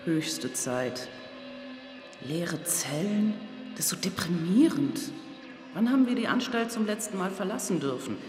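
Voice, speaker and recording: Weary gloomy prison staffer, chatty, big echoey hall, music behind. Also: male voice, high voice, animated, measured pace. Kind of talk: German radio drama dialogue.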